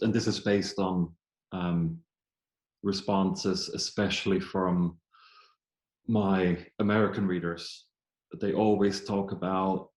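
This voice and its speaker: A poet from German-speaking Switzerland with a Swiss German accent speaks in English, hesitant and full of ums and restarts, at a public reading event. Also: male voice, deep voice, a little mellow, echoey room, rough recording.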